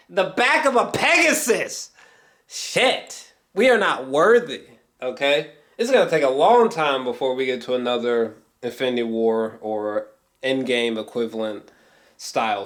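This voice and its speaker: Slow-paced, awed man rambling about films. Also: on the high side, fairly bright tone. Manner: hesitant, trailing off, swears casually; awed, geeky enthusiasm. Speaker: film reviewer. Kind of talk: casual rambling film review to viewers.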